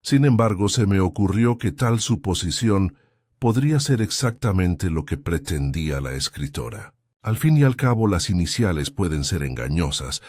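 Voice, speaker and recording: A man voicing an elderly spinster detective who speaks softly and kindly while quietly probing. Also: low-pitched, animated, normal speed, no echo, studio quality. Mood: gentle, probing. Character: gentle elderly spinster sleuth, mild and shrewd.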